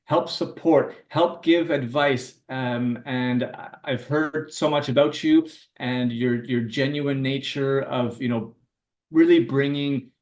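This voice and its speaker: A man's voice: fairly high pitch, reverberant, distant mic. A man hosting a podcast, eager and talkative, circling his questions with fillers. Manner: enthusiastic, rambling with fillers and restarts. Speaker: podcast host. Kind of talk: casual podcast interview.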